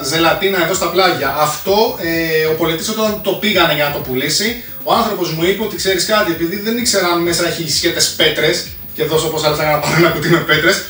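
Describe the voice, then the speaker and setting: Man, thirties, higher-pitched voice, casual chatty host, slangy, English jargon mixed in, echoey room. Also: sharp tone. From Greece. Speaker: host of a retro console collecting channel. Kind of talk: casual video presentation to viewers. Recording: echoey room, distant mic, music behind.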